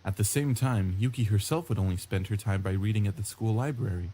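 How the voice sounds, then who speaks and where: A man's voice: lowish voice, a little fast, breathy edge. A man reading visual novel narration aloud as an introspective, wry sixteen-year-old schoolboy. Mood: reflective. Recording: loud guitar music under the voice. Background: guitar background music.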